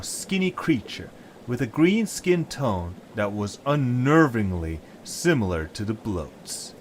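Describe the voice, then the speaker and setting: A man's voice: on the low side, a little hiss or hum. A man narrating a scripted story as its storyteller.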